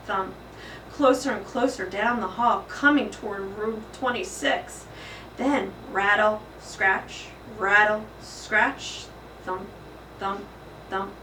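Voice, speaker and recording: Bright-voiced woman reading a children's story. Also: mid-range voice. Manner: dramatic, emphatic. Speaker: school principal reading aloud. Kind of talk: expressive children's storybook narration. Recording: echoey room, distant mic, faint noise.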